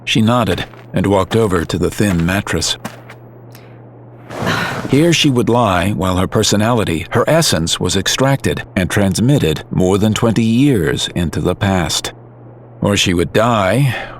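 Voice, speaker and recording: A man narrating a story in a calm, measured, scripted way. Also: deep voice, a little hiss or hum. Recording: music under narration.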